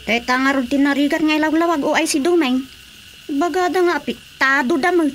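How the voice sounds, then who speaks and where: A high-pitched, inquisitive woman played by an actress with an Ilocano accent. She talks in radio drama comedy style over faint background noise. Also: a little fast, close to an everyday voice. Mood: curious.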